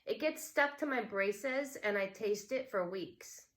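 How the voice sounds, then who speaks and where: A woman's voice: mid-range voice, slow, natural voice. A woman voicing a complaining, teasing girl with braces in a storybook. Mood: disgusted.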